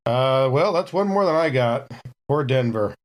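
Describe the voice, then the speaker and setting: A man's voice: medium pitch, expressive, normal speed. A man playing a tabletop game, talking casually and bantering with the other players.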